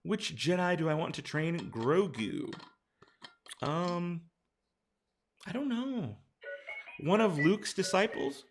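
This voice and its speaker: A man with a hoarse, tired voice, casually reading out viewer questions and answering them on a late-night live stream. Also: sits high, lively intonation, slow, long pauses, slight background noise.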